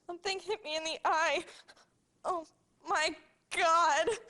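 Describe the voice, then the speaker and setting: A distraught disaster victim in a video game. Her very high voice breaks phrases apart slowly, full of anguish. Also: steady tone, sharp tone, exaggerated voice. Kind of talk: video game live-action acting.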